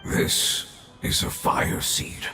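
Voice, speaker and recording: A man voicing an ancient, dying fungus creature in a video game, noble and weary, speaking solemnly and formally in a gravelly, breathy voice. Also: extremely slow, sharp tone, slight background noise. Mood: solemn, explaining. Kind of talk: scripted video game dialogue. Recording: echoing, distant, processed sound. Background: music.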